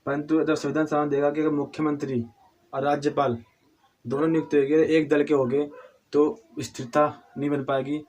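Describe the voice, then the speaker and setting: A hoarse man with a cold teaching a civics lesson, halting and restarting often, asking questions and answering them himself. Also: fairly high pitch, little pitch movement, darkish tone. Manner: halting, with frequent restarts and throat clearing; addresses viewers as friends, asks and answers own questions.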